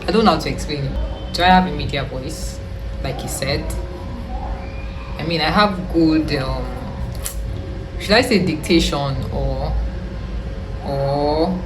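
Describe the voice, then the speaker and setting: A young woman with a Nigerian accent talks in a low, slightly husky voice, rambling casually and dropping Pidgin words as she does her makeup. Background music plays clearly beneath her voice throughout. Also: unhurried, long pauses, poor audio. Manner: rambling, chatty, mixes in Pidgin slang. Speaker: beauty vlogger. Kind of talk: casual get-ready-with-me chat. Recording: music playing underneath.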